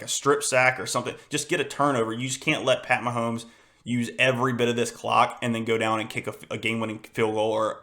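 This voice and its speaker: A young man hosting a casual sports podcast, hoarse and losing his voice, rambling with many fillers and restarts in a frustrated tone. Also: mid-range voice, sharp tone. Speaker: podcast host.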